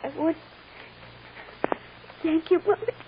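Heartbroken scared little kid, really high voice, old dull radio recording. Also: female voice. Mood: plaintive, clinging. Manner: plaintive, tearful, halting. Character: frightened, heartbroken little girl clinging to her mother. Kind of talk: 1940s-50s radio drama acting. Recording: dull, faintly noisy vintage radio recording.

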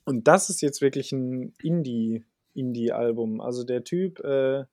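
A man's voice: medium pitch, unhurried, a little mellow, no room sound, studio quality. A young man chatting casually as a podcast co-host, colloquial, slangy and opinionated. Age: young adult. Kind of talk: casual podcast chat about music.

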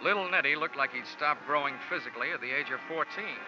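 A man's voice: a little high, fast talker, lightly acted. An actor playing a wry, laconic male insurance investigator narrating and acting in a 1940s radio detective drama. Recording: dull old 1940s radio recording, music behind.

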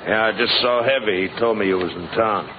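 An actor playing a town sheriff with plain, folksy speech in a 1950s radio western. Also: male voice, fairly low pitch, brisk, noisy background, natural voice. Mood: matter-of-fact. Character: Old West town sheriff, a man. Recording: muffled old radio recording with music.

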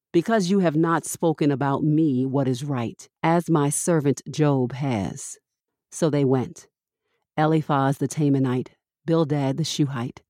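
A woman reading scripture from a script as a podcast host, with measured, deliberate phrasing. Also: low-pitched, mellow, no echo, clean studio sound.

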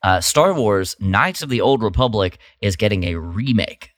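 A man's voice: fairly low pitch, expressive, moderate pace, fairly bright tone, no room sound. A man co-hosting a podcast, chatting informally and enthusiastically as he shares fandom news. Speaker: podcast co-host. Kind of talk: casual chat, sharing fandom news.

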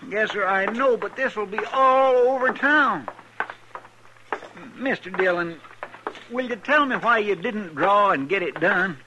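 A high-pitched, folksy deputy frets earnestly in a rural American drawl. He is loyal and deferential, heard on a noisy, clicky old radio western. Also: unhurried, dull audio. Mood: indignant, worried. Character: loyal, fretful, folksy male deputy sidekick. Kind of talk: 1950s radio western acting.

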